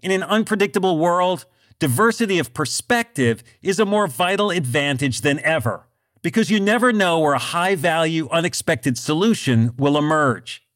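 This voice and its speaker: A man hosting a business podcast, reading scripted narration in a measured, deliberate way. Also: a little high, animated, a bit bright. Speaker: podcast host.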